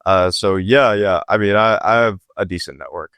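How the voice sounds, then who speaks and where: A man's voice: deep voice, normal speed, fairly bright tone, no room sound. A man from Pakistan, a startup founder as podcast guest, talking casually with lots of "like" and "right?".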